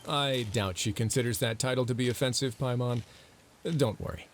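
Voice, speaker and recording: An actor playing a relaxed, dryly humorous warden in a video game, over faint music. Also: male voice, mid-range voice, normal speed, a little hiss or hum, close to an everyday voice. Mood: wry. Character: wry, easygoing prison warden.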